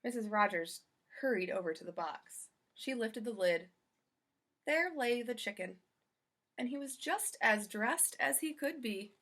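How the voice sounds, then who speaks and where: A woman narrating a children's storybook aloud in an expressive read-aloud style. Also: fairly high pitch, unhurried. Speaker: storybook reader.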